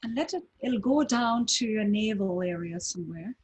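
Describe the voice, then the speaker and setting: A woman guiding a breathing meditation, speaking calmly with long pauses between short phrases. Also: mid-range voice, slightly rough. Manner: slow, calm, with long pauses. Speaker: meditation teacher.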